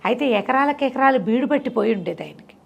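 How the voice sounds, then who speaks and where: A woman's voice: a little high, lively intonation, quick pace, creaky, highs cut off. A woman telling folk tales conversationally, in a chatty way, explaining with repeated lists of detail. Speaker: storyteller. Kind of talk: conversational bedtime folk storytelling.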